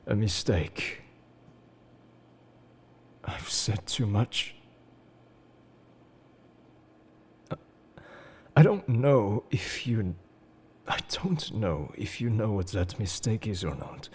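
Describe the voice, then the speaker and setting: An enigmatic, suave game agent, acted by a man, speaks low and very slowly in an intimate roleplay. His husky, breathy voice slips toward whispers. He sounds wounded yet devoted, confessing haltingly to the listener. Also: a little mellow, full character voice. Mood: bitter, guarded. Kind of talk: intimate scripted roleplay audio addressed to the listener.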